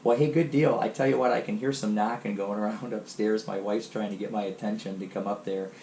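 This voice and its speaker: A man with a cold chatting casually over ham radio, relaxed and full of fillers. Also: on the low side, brisk. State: has a cold. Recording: echoey room, faint noise.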